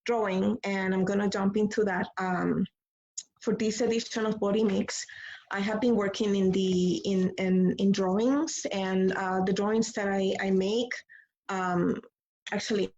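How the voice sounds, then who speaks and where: A woman from Puerto Rico, a visual artist, speaking about her work in a halting way with many pauses and restarts. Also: mid-range voice, steady tone, a little mellow. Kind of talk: hesitant artist talk. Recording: slightly dull lo-fi audio.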